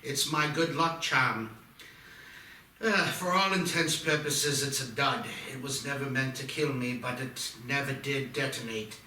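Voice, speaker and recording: A grim, obsessed doctor in his fifties, read by a man at a table read, with a very bright, forceful voice. He presses his case earnestly. The sound is echoey, noisy and far from the mic. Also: mid-range voice, a little slow. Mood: earnest, calmer.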